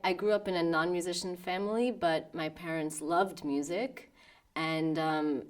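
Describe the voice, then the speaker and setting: A woman's voice: lowish voice, fairly even intonation, unhurried, distant mic. A woman in her thirties from New York with an American accent, talking thoughtfully about her life as a pianist in a documentary interview. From New York, United States. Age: 30s. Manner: thoughtful, with soft laughs and restarts.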